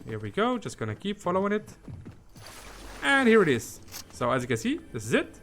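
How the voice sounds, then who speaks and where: A man's voice: high-pitched, huge pitch swings, fairly quick, fairly bright tone, faint music behind. A man giving a casual, rambling game walkthrough, often repeating himself in a conversational way. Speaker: gaming video host.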